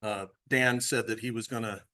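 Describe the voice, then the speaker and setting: Bright-voiced American man introducing a speaker. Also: lowish voice, steady tone. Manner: halting, joking. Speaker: club host introducing a speaker. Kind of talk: informal spoken introduction.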